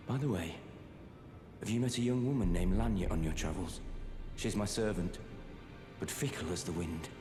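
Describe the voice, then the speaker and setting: A man's voice: low voice, moderate pace, close to an everyday voice. A man playing a polite, wry young nobleman in a fantasy video game, speaking in a genteel, conversational way. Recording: echoey room with music behind.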